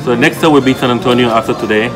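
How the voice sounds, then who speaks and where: A man chatting casually to viewers as a travel vlogger in a low-key, conversational way. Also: racing. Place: inside a restaurant. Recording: music playing behind the voice. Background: music.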